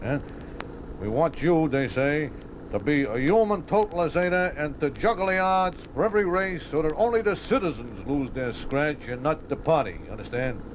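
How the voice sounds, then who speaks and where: An American actor playing a folksy, defensive racetrack bookie in a 1950s radio drama, talking in streetwise slang with stammered repetitions. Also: male voice, fairly high pitch, lively intonation, normal speed, close to an everyday voice. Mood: explaining, self-justifying. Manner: streetwise slangy talk, hesitant repetitions, aggrieved. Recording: noisy old radio broadcast recording.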